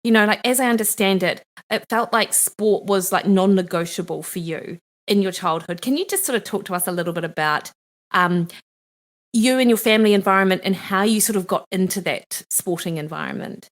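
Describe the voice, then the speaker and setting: A middle-aged woman hosting a podcast, chatty and enthusiastic in a casual interview. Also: mid-range voice. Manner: chatty and enthusiastic, quick asides. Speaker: podcast host.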